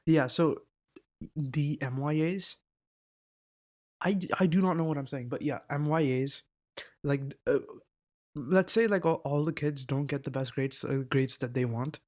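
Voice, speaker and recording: A man's voice: on the high side, measured pace, pauses often, a little mellow. A teenage boy chatting casually in a rambling, self-interrupting vlog, swearing now and then, into a desk mic. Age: teens. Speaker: vlogger. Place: indoors at a laptop.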